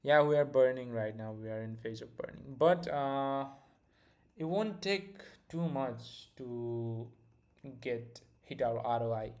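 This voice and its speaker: A man's voice: mid-range voice, slow pace, a little mellow. Brief: young male streamer, Indian accent, easygoing and rambling, mid-range voice. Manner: loose, filler-heavy, restarts often. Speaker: live-stream host. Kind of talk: casual live-stream chat and product demo.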